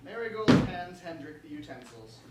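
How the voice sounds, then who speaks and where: A man with a high voice reads scripted stage directions as narrator. He is heard from a distance in a very echoey room with clear background noise. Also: very animated, moderate pace. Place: reverberant indoor space, far from the mic.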